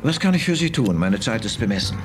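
An actor playing a formal, guarded company director general in a radio drama. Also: male voice, fairly low pitch, very animated, fast talker. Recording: distant mic with music behind.

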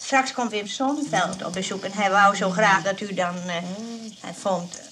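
A woman's voice: medium pitch, moderate pace, fairly bright tone, natural voice. Bustling nurse lady, played by an actress in an old radio play, fussy n coaxing, hissy sound. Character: bustling, nervous, well-meaning nurse running a household.

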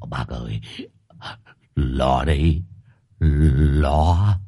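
A man's voice: a bass voice, extremely slow, dark tone, pitched below the performer's own voice, full character voice. A man voicing a cowardly henpecked husband who stammers and pleads tearfully. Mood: panicked.

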